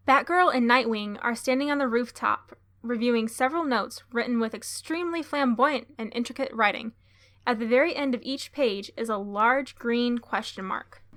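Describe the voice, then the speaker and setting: A woman playing a capable, confident young masked crime-fighter and narrating a radio drama in a brisk, matter-of-fact way. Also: fairly high pitch, a bit bright, lightly acted. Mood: scene-setting.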